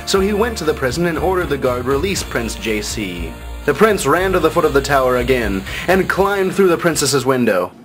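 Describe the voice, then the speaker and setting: A man's voice: mid-range voice, sing-song, normal speed, over music, poor audio. A man reading a scripted fairytale as a storybook narrator.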